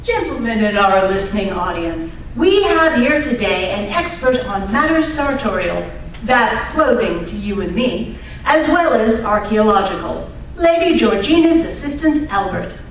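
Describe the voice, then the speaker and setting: A woman plays a polite old-time radio hostess with a polished, formal announcing style. Her voice is fairly high. It carries from a distance through a very echoey hall with faint noise. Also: sing-song, a little slow. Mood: polite, presenting. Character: Miss Harris, bright, polite radio program hostess. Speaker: announcer, host.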